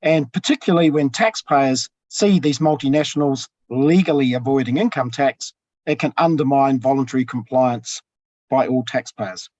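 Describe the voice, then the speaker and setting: Husky New man lecturing. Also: medium pitch, darkish tone, no echo. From New Zealand. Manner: measured, with frequent ums and pauses. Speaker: tax professor presenting. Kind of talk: academic webinar presentation. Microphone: video call. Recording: dull lo-fi video call audio.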